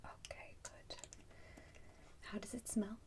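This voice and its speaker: A woman whispering softly and breathily in an ASMR roleplay, pausing often as she addresses the listener as a customer. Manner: slow, pausing, unhurried. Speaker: ASMR performer playing a soup shop keeper.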